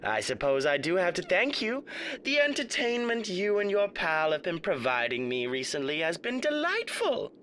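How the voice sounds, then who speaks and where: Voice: mid-range voice, sing-song, normal speed, fairly bright tone, a little hiss or hum. An actor playing a smug, sadistic male radio demon in an ASMR roleplay, theatrical, mock-polite and taunting. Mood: mock politeness.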